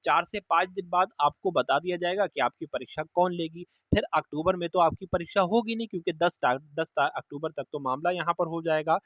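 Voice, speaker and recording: A man's voice: a little high, brisk, few pauses, fairly bright tone, no room sound, dull audio. A man giving an informal, instructive exam update to students, repeating key points for emphasis. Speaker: exam coaching presenter.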